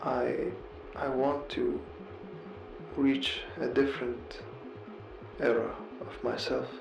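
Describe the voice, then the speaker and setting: A man with a heavily creaky, gravelly voice speaks slowly in an earnest, confessional monologue. He halts and repeats phrases as he reflects, in a roomy, echoing space with music underneath. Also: medium pitch, flat delivery, muffled recording. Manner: halting, repeats words and phrases, pausing mid-sentence.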